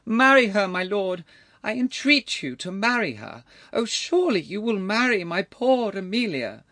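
Man putting on a shrill, wailing elderly lady. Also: sing-song, moderate pace, sharp tone, no echo, full character voice. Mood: pleading, wailing. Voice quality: strident. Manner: wailing, shrieking, theatrically pleading. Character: elderly veiled lady, strident, melodramatic, indignant. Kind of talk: dramatised audiobook character voice.

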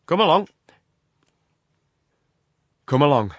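A man performs a domineering ironworks man in a dramatized storybook. His voice is raised well above a narrator's, loud and emphatic, in a dry, close recording. He talks expansively and feverishly, with menace underneath. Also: mid-range voice, lively intonation, moderate pace, no echo, pitched above the performer's own voice, close to an everyday voice. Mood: abrupt, startled. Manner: loquacious, emphatic, abrupt commands.

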